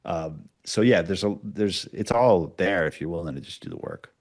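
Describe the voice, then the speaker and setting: A man's voice: low voice, lively intonation, moderate pace, creaky, darkish tone. A man speaking informally and a little self-deprecatingly as a guest writer-producer in a casual interview. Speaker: guest writer-producer of podcast audio dramas.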